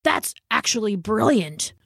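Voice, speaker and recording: A woman's voice: medium pitch, lively intonation, a little slow, gravelly, a bit bright, husky, no room sound, clean studio sound, heavily acted. A woman voicing a humble, earnest old male dog in a storybook, speaking hesitantly and sincerely. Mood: impressed, unsure. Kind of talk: storybook character voice.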